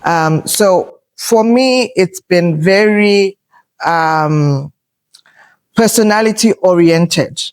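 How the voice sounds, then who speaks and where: A woman in her fifties with a loud voice, talking in a reflective, assertive way as a podcast host and pausing often on fillers. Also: on the low side, rough recording. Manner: assertive, unhurried, thoughtful with filler pauses. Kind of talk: reflective conversational talk.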